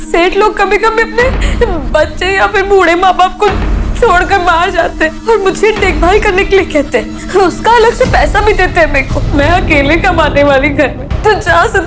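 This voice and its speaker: Distressed high-voiced poor woman. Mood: humble pleading. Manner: halting, humble, pleading. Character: distressed poor female domestic worker, humble crime victim. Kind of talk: emotional TV crime drama acting. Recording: music under dialogue.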